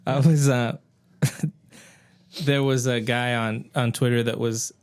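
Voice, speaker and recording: A man's voice: medium pitch, fairly even intonation, slow, a little mellow, clear, resonant tone. Casual guy telling rambling anecdotes. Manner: rambling anecdotes, acting out quotes. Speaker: podcast co-host. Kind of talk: casual chat.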